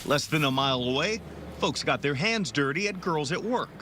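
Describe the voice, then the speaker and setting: Crisp young male TV news reporter. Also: a little high, expressive, heavy background noise. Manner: crisp, measured newscast delivery.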